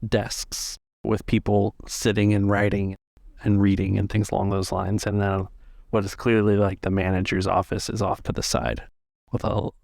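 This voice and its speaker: A man narrating a tabletop role-playing game as game master, describing scenes haltingly in the present tense. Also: on the low side, monotone, vocal fry, a little mellow, dry recording, clean studio sound.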